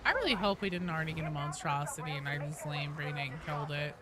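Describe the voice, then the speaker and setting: A woman's voice: deep voice, fast talker, noisy background. A woman streaming a video game with casual, playful, foul-mouthed commentary and goofy asides into a close mic. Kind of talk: casual live gameplay commentary.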